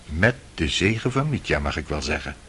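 A man's voice: rumbling low, sing-song, fairly quick, fairly bright tone, breathy edge, heavily acted. An actor playing a cynical, worldly young man in a radio drama, sly and needling in manner. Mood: mocking, insinuating. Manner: sardonic, insinuating.

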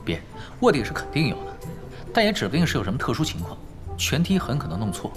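A man's voice: mid-range voice, very animated, creaky, over music. A man playing a laid-back, shrewd young pro gamer in an audio drama, talking casually and wryly. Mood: calm scheming. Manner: casual, unhurried, wry.

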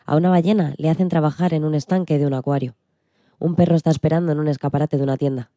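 A woman from reads a script as co-presenter on a radio show. Her voice is very dark and soft, and she moves along briskly in a dry, close recording. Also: deep voice, steady tone, dry recording. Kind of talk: scripted reading of a picture-book description.

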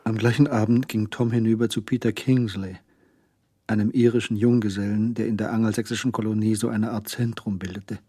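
A man narrating a crime radio drama in a sober, literary manner with measured, clipped phrasing. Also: lowish voice, little pitch movement, dark tone, breathy edge, no echo, clean studio sound. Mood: reflective.

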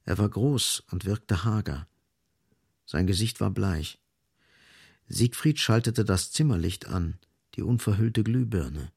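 A man's voice: on the low side, expressive, slow pace, gravelly, darkish tone, husky, dry recording, studio quality. A man reading literary scripted narration as the narrator of a radio drama.

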